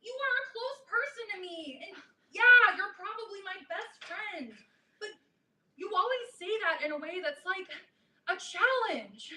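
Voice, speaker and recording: A woman in a staged play reading, playing an earnest, hurt teenage girl with a pleading, halting, emphatic delivery. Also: extremely high pitch, lively intonation, bright, forceful tone, full character voice. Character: earnest, hurt teenage girl confronting her best friend. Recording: echoey room, distant mic, faint noise.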